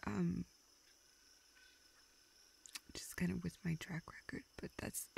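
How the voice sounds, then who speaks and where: A young woman playing a self-deprecating, vulnerable friend in an intimate audio roleplay, speaking in halting, self-interrupting dialogue. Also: fairly low pitch, fairly even intonation, gravelly, darkish tone, whispered. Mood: subdued, dejected. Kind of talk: intimate, naturalistic one-sided dialogue.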